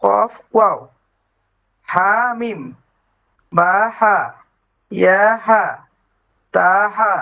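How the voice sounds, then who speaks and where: A student reciting letter pairs back to the teacher in an online class. The pace is very slow and halting. The audio comes through dull and lo-fi. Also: mid-range voice, steady tone, vocal fry, pushed and bright.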